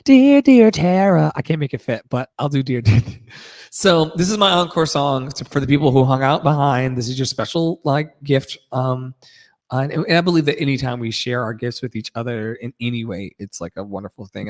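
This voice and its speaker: A man's voice: medium pitch, normal speed, no echo, clean studio sound. A man who sings and hosts a live audio show, chatting casually in an effusive, rambling, slangy and profane way. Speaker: singer hosting a live audio room show. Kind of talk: casual, rambling livestream chat.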